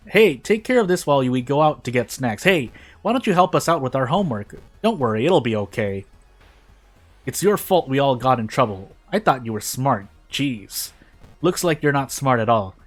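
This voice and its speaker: A man voicing snide, gossiping young schoolmates in a taunting, dismissive way for a story. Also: mid-range voice. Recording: music behind the voice.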